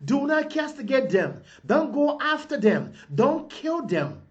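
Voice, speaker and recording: A man's voice: very high voice, big pitch swings, measured pace, lo-fi sound. A man from Liberia giving an impassioned video address to his compatriots, preaching in repeated rhetorical appeals. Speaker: political advocate addressing compatriots.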